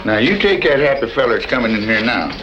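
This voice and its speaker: An actor playing a folksy, nosy, chattering rancher with an American Western drawl and a wry, rambling manner in an old-time radio western. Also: male voice, medium pitch, lively intonation, fast, natural voice. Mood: wry, chatty. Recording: muffled, noisy old-time radio recording.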